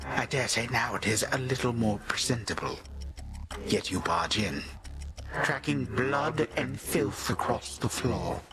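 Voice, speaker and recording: An actor playing an ancient god of time in a video game, a sinister, condescending old villain who speaks in pompous, archaic, theatrical phrases and savors each word. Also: male voice, mid-range voice, expressive, measured pace, background noise. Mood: haughty disdain.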